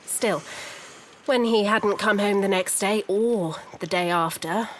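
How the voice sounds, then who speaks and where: An actress playing a composed, proud industrialist's wife in a noir audio drama, wry and precise. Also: female voice, mid-range voice, slow pace, a little hiss or hum, close to an everyday voice. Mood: composed, insistent.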